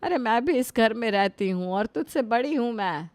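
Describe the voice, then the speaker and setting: A woman's voice: fairly high pitch, animated, normal speed, no room sound, close to an everyday voice. A woman playing an elderly, put-upon mother-in-law in a family drama, complaining and lamenting to God. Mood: hurt. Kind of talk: Hindi family drama acting.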